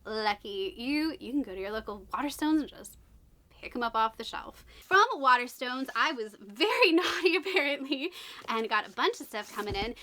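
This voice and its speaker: A woman chats through a book unboxing for her channel. Her voice is high and bright but slightly raspy from being sick. She gushes, then drops into hushed, whispered asides. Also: animated, moderate pace. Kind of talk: casual unboxing vlog.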